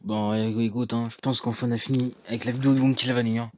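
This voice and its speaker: A man's voice: medium pitch, level tone, quick pace, darkish tone, slight background noise. A man hosting a reaction video, chatting casually, lecturing his viewers and leaning on fillers.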